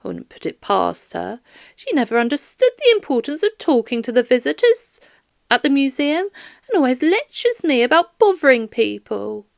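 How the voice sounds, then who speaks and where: A woman's voice: high voice, very animated, normal speed, vocal fry, no echo, studio quality, exaggerated voice. A woman voicing a flustered, doting older woman who works at a museum, in a rambling, plaintive and baffled manner, for an audiobook. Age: 60s.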